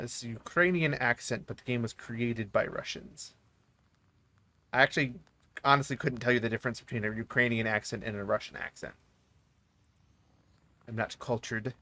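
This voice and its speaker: A man's voice: medium pitch, pushed and bright. Rambling male gaming streamer. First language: not English. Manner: rambling, mumbling asides with fillers. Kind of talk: casual let's play commentary.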